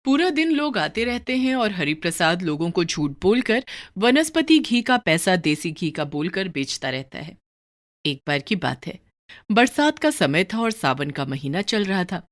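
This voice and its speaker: A woman's voice: lowish voice, sing-song, measured pace. A woman narrating a scripted moral story for a cartoon.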